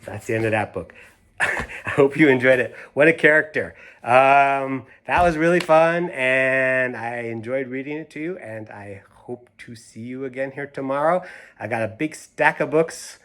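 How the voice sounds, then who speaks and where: A man's voice: mid-range voice, moderate pace, a bit bright. A man reading aloud to children in a casual style, adding conversational asides and questions to his listeners. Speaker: children's story reader.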